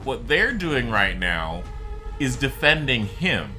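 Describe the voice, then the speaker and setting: A man's voice: mid-range voice, very animated, slow, sharp tone, soft background music. A man giving casual, rambling, explanatory commentary on a game during a live stream, as the streamer.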